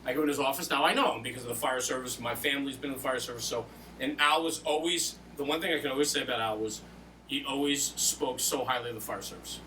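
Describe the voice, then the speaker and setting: A man's voice: mid-range voice, fast talker, bright, forceful tone, distant mic, slight background noise, low-quality recording. A man hosting an interview, telling personal anecdotes in a casual, colloquial way. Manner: casual, colloquial storytelling with reenacted dialogue. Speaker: podcast host and volunteer firefighter.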